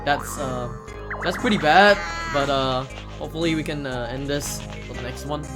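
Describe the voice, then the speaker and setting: A speedrunner giving casual live commentary while playing, with excitable outbursts. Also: medium pitch, normal speed. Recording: loud game music behind. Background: video game music.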